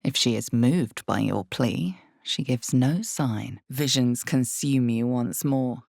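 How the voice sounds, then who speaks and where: A woman performing as an ominous storyteller in a dark fantasy game, narrating in a deliberate, suspenseful, theatrical way with breathy drops toward a whisper. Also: very deep voice, dry recording, clean studio sound, full character voice. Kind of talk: dramatic second-person fantasy game narration.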